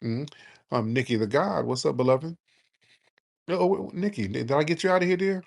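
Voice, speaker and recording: A man's voice: a little high, normal speed, gravelly. A man hosting a live call-in show, talking bluntly and challenging his callers. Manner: blunt, challenging, animated emphasis. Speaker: host taking callers.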